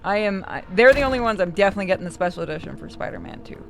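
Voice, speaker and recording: A woman streaming a game and chatting casually with friends, blunt and quick to swear. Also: mid-range voice, sing-song, vocal fry, soft background music. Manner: blunt, profane, gossipy. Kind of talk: casual gaming stream chat.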